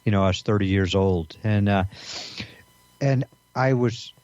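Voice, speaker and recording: A man in his fifties co-hosting a podcast, talking in halting casual chat full of fillers. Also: on the low side, darkish tone, no room sound. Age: 50s. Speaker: podcast co-host. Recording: dry, dull lo-fi sound.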